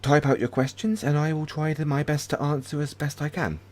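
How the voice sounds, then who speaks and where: A British man hosting a casual livestream, chatting hesitantly with many pauses and restarts. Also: on the high side, fairly even intonation, a little mellow. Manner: hesitant, lots of ums and restarts, friendly. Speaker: ASMR channel host.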